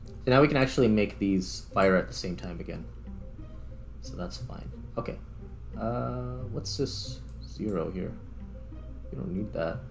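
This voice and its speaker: Man, low-pitched, creaky voice, casual game commentary, halting and musing, faint music behind. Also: animated. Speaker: gaming video host. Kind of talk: casual gameplay commentary, thinking aloud.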